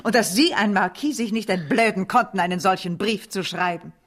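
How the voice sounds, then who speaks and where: A woman's voice: mid-range voice, fairly quick, over a noisy crowd, lightly acted. A woman playing a proud, commanding French noblewoman in a German radio drama, speaking formally and reproachfully. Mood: indignant.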